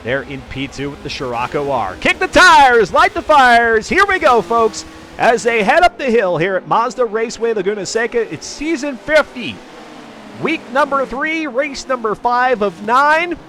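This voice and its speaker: A man's voice: very high voice, sing-song, normal speed, sharp tone. A man commentating live on a racing stream as its host, in folksy, chatty announcer patter with jokey asides. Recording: racing car noise behind the voice. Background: car engines and race ambience.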